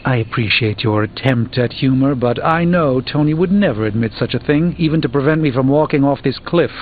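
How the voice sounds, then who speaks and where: A man's voice: mid-range voice, brisk, a little hiss or hum, natural voice. A man playing a proud middle-aged sorcerer in a video game, speaking formally and solemnly. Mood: despondent. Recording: tinny band-limited game audio with music.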